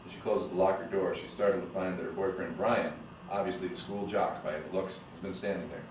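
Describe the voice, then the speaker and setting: A man reading the stage directions aloud at a screenplay table read, brisk and matter-of-fact. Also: low voice, fairly even intonation. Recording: echoey room, distant mic, background noise.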